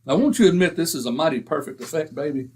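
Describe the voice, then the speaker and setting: A man playing a brash, boastful husband in an old-time radio comedy, excitable and self-mocking, with a husky voice. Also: on the high side, fast. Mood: blustery. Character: blustering boastful middle-aged husband. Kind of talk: 1940s radio acting.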